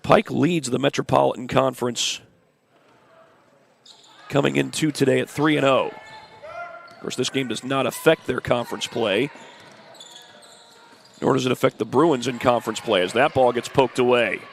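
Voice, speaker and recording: Brief: male play-by-play announcer, stuffy and congested, gravelly edge, courtside in a gym with faint noise behind. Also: mid-range voice, moderate pace, pauses often. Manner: steady, informative play-by-play with stat and schedule asides. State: congested from the flu. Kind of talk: high school basketball commentary. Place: high school gym. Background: faint gym ambience, ball bouncing.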